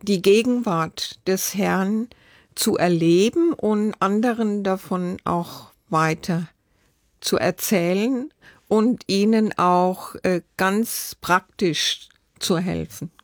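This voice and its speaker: Slow, earnest woman speaking. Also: mid-range voice, dry recording, clean studio sound. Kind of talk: testimony in an interview.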